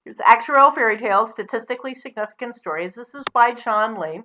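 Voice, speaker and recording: A woman presenting as a storyteller, mixing expressive storybook reading with casual asides. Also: fairly high pitch, quick pace, slight background noise, low-quality recording.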